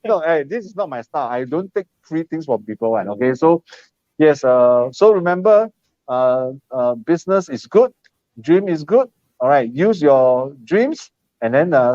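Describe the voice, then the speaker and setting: A man's voice: mid-range voice, darkish tone, no echo. A man with a Singaporean English accent hosting a casual live stream, chatty and encouraging, with many fillers. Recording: muffled lo-fi live stream, dry.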